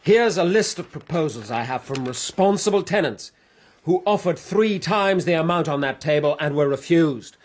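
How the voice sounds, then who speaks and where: A young upper-class English gentleman in a radio play. His voice sits fairly high for a man, and he speaks with firm, urgent, articulate conviction, like a dutiful son set on justice. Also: lively intonation, moderate pace. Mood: urgent, indignant. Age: young adult. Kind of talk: radio drama acting.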